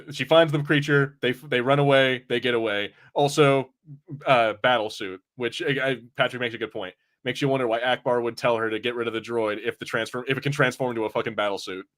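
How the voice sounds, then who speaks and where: Informal male podcast host, medium pitch. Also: brisk, fairly bright tone. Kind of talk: casual film and TV review chat.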